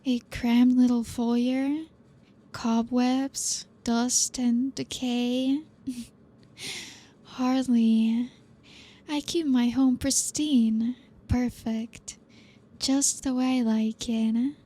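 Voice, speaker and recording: A woman voicing an elegant, teasing female vampire who welcomes a guest, in a soft ASMR roleplay delivery with a possessive edge. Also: fairly high pitch, fairly even intonation, extremely slow, long pauses. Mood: teasing, hospitable.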